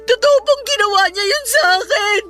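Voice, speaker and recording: An actress playing an aggrieved young niece in a radio drama, pleading and protesting politely through tears. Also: female voice, very high-pitched, moderate pace, music in the background. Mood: tearful, hurt. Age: young adult. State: crying. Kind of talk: radio drama dialogue in Tagalog.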